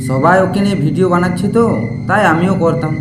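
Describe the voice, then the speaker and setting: A man playing a polite, eager young video maker in animated horror story dialogue. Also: high voice, quick pace, close to an everyday voice. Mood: polite, curious. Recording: roomy reverb with music.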